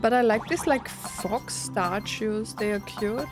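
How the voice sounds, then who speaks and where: A woman's voice: medium pitch, slow, music in the background. A woman giving casual gameplay commentary, thinking aloud and reacting chattily as she plays. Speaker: game streamer.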